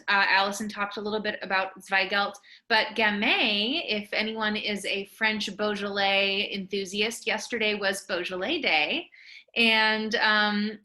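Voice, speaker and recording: Bright woman hosting a wine tasting. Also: mid-range voice. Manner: friendly, informative, many fillers. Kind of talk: virtual wine tasting talk. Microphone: video call.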